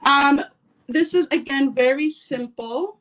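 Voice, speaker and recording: A woman's voice: sits high, slow pace, a bit bright, far from the mic, low-quality recording. A woman from El Salvador giving a casual cooking demonstration in her home kitchen, chatty with frequent fillers. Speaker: cooking instructor.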